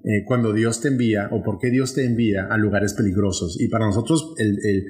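A man's voice: on the low side, steady tone, a little fast, a little mellow. A young man with a northern Mexican accent, co-hosting a podcast in a casual, rambling way with many restarts. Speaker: podcast co-host. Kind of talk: casual conversation.

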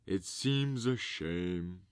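A man voicing a pompous, coaxing walrus from a storybook, with a grand, drawn-out, theatrical delivery. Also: low voice, animated, clear, resonant tone, heavily acted. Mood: mock sorrowful. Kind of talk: storybook character reading.

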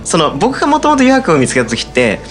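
A man's voice: on the high side, huge pitch swings, fast, loud music behind the voice. A man guest, the drama's writer, talking modestly and laughing easily. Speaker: scriptwriter guest. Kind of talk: casual radio chat.

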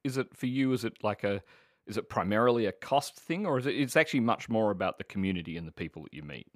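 Low-voiced male podcast host. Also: lively intonation, fast talker, no echo, studio quality. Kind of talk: conversational interviewing.

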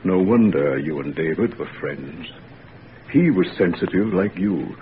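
An actor playing an older, weary father grieving his son, speaking in a low, sorrowful, halting way. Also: animated. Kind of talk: 1940s radio acting. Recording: tinny old radio broadcast, faint hiss.

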